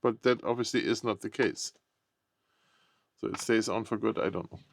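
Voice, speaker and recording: A man's voice: lowish voice, steady tone, normal speed, creaky. A man giving casual, unscripted unboxing commentary, thinking aloud in short, tentative remarks. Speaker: product unboxing vlogger.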